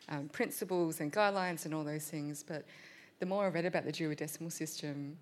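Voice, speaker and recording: A woman speaking on a panel, thoughtful and measured, with frequent pauses and ums. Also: deep voice. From Australia. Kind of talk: panel discussion.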